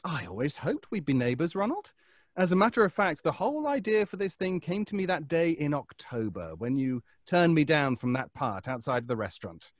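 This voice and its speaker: A man's voice: on the high side, very animated, moderate pace, dry recording, studio quality. A man playing a vengeful, mad failed actor in old-time radio suspense style, taunting his trapped rival with theatrical mock courtesy. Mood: sly, gloating.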